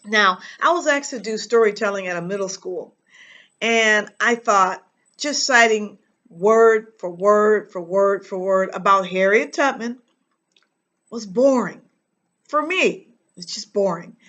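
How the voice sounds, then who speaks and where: An American woman giving an instructional talk as a reading teacher and host, speaking emphatically and deliberately with dramatic pauses. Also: mid-range voice, fairly bright tone.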